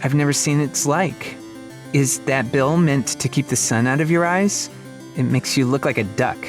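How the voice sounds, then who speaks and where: A man's voice: medium pitch, normal speed, music in the background, pitched above the performer's own voice, lightly acted. A man voicing a haughty, chatty young girl librarian from a storybook, prim and easily flustered. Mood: nervously chatty. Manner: prim, indignant, talkative. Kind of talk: storybook character acting.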